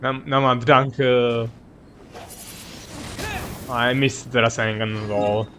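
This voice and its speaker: A man's voice: medium pitch, fairly even intonation, takes it slowly, a bit bright, slight background noise. A man who is a non-native English speaker gives casual live game commentary, rambling and searching for words. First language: not English. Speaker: game streamer.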